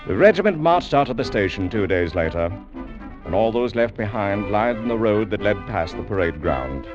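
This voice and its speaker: An actor playing a storyteller, giving dramatic scripted narration of a regiment's march in an old-time radio drama. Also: male voice, fairly low pitch, very animated, moderate pace, over music. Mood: grave, descriptive. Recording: dull old radio recording.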